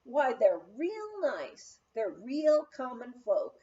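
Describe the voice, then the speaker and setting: A woman's voice: sits high, huge pitch swings, slow, dark tone, full character voice. A woman voicing a brash, good-hearted female ranch cook in a blunt, folksy manner for a memoir reading, slightly dull recording. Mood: folksy, earnest.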